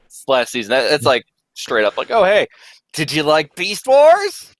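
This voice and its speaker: A young man in casual fan chat, starting haltingly and slipping into mock quotes. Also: fairly high pitch, huge pitch swings, no room sound. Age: young adult.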